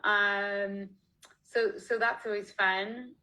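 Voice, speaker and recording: A woman from Canada talks casually about her work over a video call. Her voice is bright and forceful, set at a middle pitch. She laughs often and restarts her sentences as she goes. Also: little pitch movement, drawn-out words, low-quality recording. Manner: chatty, laughs often, many fillers and restarts. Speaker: author being interviewed. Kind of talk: casual conversational interview. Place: home, online video call.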